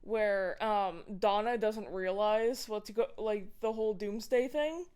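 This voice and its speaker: Clear-voiced woman chatting on a podcast. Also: medium pitch, a little slow, a little mellow. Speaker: podcast co-host. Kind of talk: casual film discussion.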